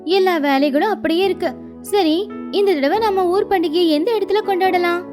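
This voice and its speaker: A cheerful lady parrot acted by a woman in a village bird cartoon. She is agreeable and very high-pitched, with music behind. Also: normal speed. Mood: eager, agreeable. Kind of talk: cartoon moral story acting.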